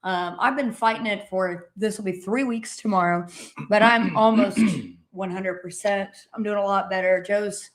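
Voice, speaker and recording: A woman's voice: medium pitch, steady tone, moderate pace. A woman co-hosting a casual live stream, upbeat and keeping the show on track. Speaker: co-host.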